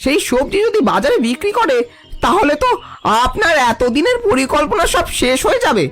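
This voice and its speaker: A woman's voice: high voice, very animated, normal speed, faint music behind. A woman voicing an outspoken village woman in a cartoon folk tale, with a pointed, dramatic delivery. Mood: gossipy warning.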